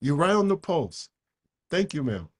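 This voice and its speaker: An older man whose first language is Japanese gives a slow, unhurried dharma talk. His voice sits a little high for a man, and he leans on his points, often repeating himself and tagging "okay?" onto his lines. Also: big pitch swings, slightly rough. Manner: emphatic, repeats words, frequent "okay?". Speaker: Buddhist teacher.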